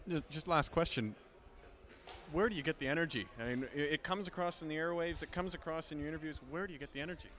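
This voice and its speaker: Fast-talking young male interviewer. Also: on the high side, a bit bright, slight background noise. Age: young adult. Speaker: interviewer, museum curator. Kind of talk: interview questions. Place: large indoor hall. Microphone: handheld mic.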